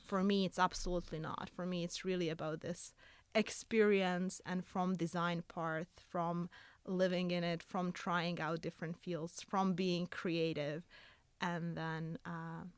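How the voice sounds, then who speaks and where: Young woman, Croatian accent, smooth resonant tone, eager researcher guest, dry recording. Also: on the low side, little pitch movement, unhurried, no echo. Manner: earnest, eager, slightly broken English phrasing. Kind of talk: casual interview talk.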